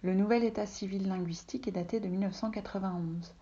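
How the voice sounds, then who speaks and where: A woman from Russia whose first language is Russian, calmly reading a literary text aloud from her home office, often soft and breathy. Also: mid-range voice, fast talker, highs cut off. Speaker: reader, translator.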